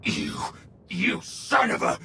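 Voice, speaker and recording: A man voicing a gruff, hot-tempered criminal thug in a video game, blunt and threatening. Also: takes it slowly, sharp tone, a little hiss or hum. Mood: furious. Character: gruff, angry male thug dealing in stolen weapons.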